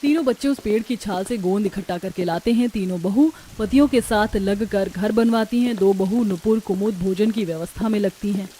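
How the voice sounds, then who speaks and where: A woman's voice: mid-range voice, normal speed, hardly any pauses, warm tone, a little hiss or hum. A woman narrating a scripted cartoon story as a storyteller.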